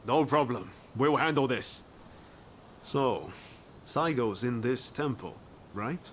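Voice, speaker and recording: Plain-spoken resolute warrior, medium pitch. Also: male voice, expressive, slow, slight background noise. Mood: practical, calm. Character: pragmatic, persuasive wandering warrior, direct and resolute. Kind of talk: video game cutscene acting.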